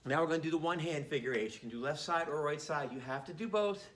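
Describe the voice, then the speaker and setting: A man's voice: fairly high pitch, quick pace. A man teaching a martial arts class on camera, casual and joking as he demonstrates the moves. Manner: relaxed, joking, talks while demonstrating. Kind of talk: casual instructional demo. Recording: echoey room, faint background noise.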